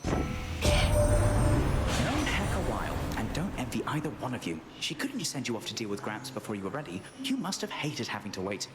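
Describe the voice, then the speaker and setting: A man's voice: mid-range voice, big pitch swings, fairly quick, loud music behind the voice, lightly acted. A man playing a breezy, impatient young messenger god in a video game, rattling through his words. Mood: breezy, rushed. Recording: echoing, loud noisy background.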